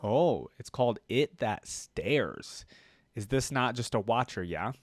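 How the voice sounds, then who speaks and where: A man's voice: medium pitch, expressive, takes it slowly, dry recording, studio quality. A man giving casual reaction commentary as host of a gaming video.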